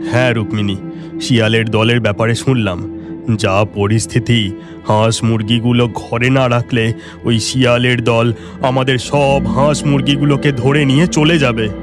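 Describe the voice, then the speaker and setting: A concerned village fisherman played by a man in a cartoon, with a medium-pitched voice. He talks in an earnest, plain way, and music clearly plays underneath. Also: little pitch movement, moderate pace, close to an everyday voice. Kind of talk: Bengali cartoon voice acting.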